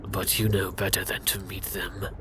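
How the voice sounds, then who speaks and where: A man narrating a scripted second-person fantasy story for ASMR in a hushed, intimate, dramatic way. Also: deep voice, little pitch movement, normal speed, a little hiss or hum.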